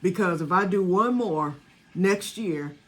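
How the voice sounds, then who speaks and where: A woman's voice: fairly low pitch, a little slow. Chatty woman in her 60s, rambling casual vlog talk, calls her viewers y'all. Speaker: home vanilla maker. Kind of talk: casual chat to camera.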